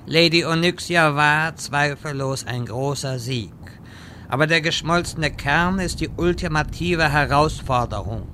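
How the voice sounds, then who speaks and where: A man's voice: medium pitch, bright, forceful tone, a little hiss or hum. A man playing a pompous, vain wizard with grandiloquent, stilted wording in a parody radio play. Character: pompous, vain, theatrical male wizard quest-giver.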